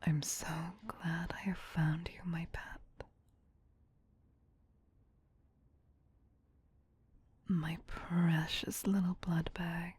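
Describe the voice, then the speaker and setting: A woman playing a possessive, teasing, soothing vampire queen in a whispered ASMR roleplay, breathy, hushed and doting yet domineering. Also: fairly low pitch, flat delivery, takes it slowly, full character voice.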